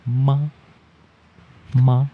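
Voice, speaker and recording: A man's voice: medium pitch, flat delivery, extremely slow, slightly rough, phone-quality sound. A man hosting a podcast, interviewing in a casual, teasing chat style. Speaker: podcast host.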